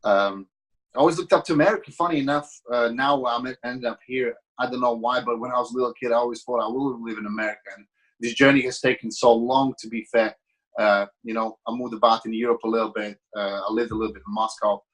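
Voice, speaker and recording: A man's voice: medium pitch, fairly even intonation, quick pace, a bit bright, low-quality recording. A man around thirty from Latvia, with a Latvian accent tinged with British, chatting as a podcast guest in a friendly, rambling way with lots of fillers and restarts. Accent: Latvian with a slight British tinge. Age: about 30. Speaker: podcast guest, business owner. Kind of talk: casual interview chat.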